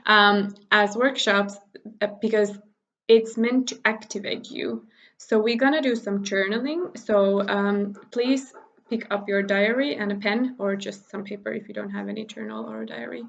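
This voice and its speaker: A woman from Finland talks to her viewers in English, chatty and warm-hearted, stopping for fillers and laughs. Her mid-pitched voice has a gravelly edge of vocal fry. The recording is muffled, like a simple home setup on a camera or phone mic. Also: steady tone, moderate pace. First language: Finnish. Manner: chatty, laughs often, many fillers and restarts. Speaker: spiritual workshop teacher. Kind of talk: casual recorded workshop talk.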